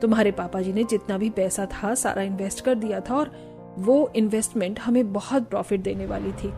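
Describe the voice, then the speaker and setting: A woman's voice: medium pitch, steady tone, fairly quick, music in the background, close to an everyday voice. A woman voicing a kindly but firm middle-aged mother-in-law in a family cartoon. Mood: apologetic. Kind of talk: acted dialogue in a moral family cartoon.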